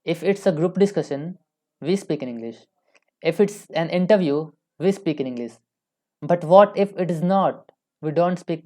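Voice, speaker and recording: A woman's voice: fairly low pitch, warm tone. A young woman with an Indian accent giving an informal English lesson, repeating phrases for emphasis. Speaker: online English teacher. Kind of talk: informal instructional lecture.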